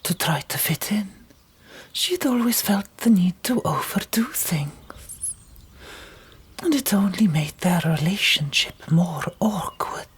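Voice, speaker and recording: A woman narrating an audiobook in a whispered ASMR style, with deliberate, dramatic storytelling. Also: on the low side, lively intonation, slightly rough.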